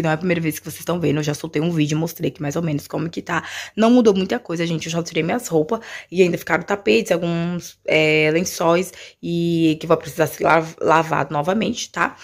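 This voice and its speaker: A woman in her twenties with a Brazilian accent and a hoarse voice, chatting casually and informally to the camera as a vlogger. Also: fairly low pitch. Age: 20s. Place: small tiled room at home, indoors. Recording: echoey bare room.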